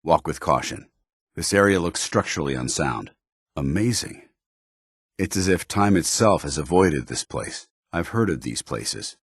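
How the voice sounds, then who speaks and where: An actor playing a stern, dutiful armored soldier in a video game, speaking in a formal, clipped military manner. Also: male voice, low voice, expressive, unhurried, breathy edge, dry recording, clean studio sound, exaggerated voice. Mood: grave, watchful. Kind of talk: video game companion dialogue acting.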